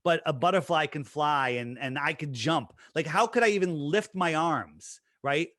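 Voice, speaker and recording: A man's voice: fairly high pitch, moderate pace, fairly bright tone, dry recording, clean studio sound. A man being interviewed in a casual conversation, talking emphatically and piling up rhetorical questions. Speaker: interview guest.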